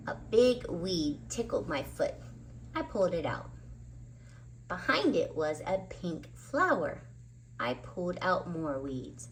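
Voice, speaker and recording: Animated woman reading a children's story. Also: mid-range voice, a little hiss or hum, poor audio. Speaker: children's story reader and host. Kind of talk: expressive storybook narration.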